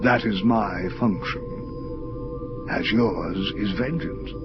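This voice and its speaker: An actor playing an ancient, wise angel in an audio drama, serene and cryptic, with formal, measured speech. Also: male voice, on the low side, lo-fi sound, loud music behind the voice.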